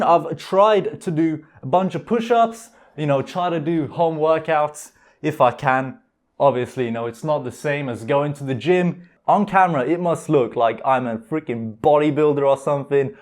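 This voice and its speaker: A man's voice: a little high, darkish tone. A twenty-year-old man from Lithuania chatting casually and slangily in a vlog, with a mostly British accent that carries slight American and Lithuanian touches. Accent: mostly British with slight American and Lithuanian tinge. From Lithuania, later moved to the UK. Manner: informal, slangy, with frequent "like", "dude" and "man". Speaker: YouTuber.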